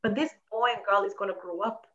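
A woman's voice: medium pitch, steady tone, a little fast, pushed and bright, highs cut off. A woman hosting an interview, talking emphatically with many fillers and repeated phrases as she urges listeners to help. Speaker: host. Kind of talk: interview host making an appeal.

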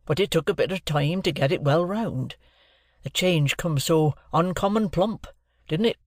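A man doing a slow, homely old blacksmith. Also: on the high side, very animated, no echo, studio quality, exaggerated voice. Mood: gentle, homely. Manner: plain, homely country speech. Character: kindly, slow-spoken simple village blacksmith, middle-aged man.

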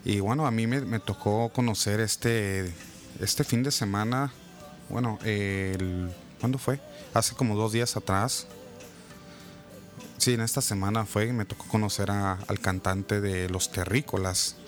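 A man hosting a live radio interview, chatting in a relaxed way with frequent religious asides. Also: on the low side, unhurried, faint music behind. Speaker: radio host and music producer.

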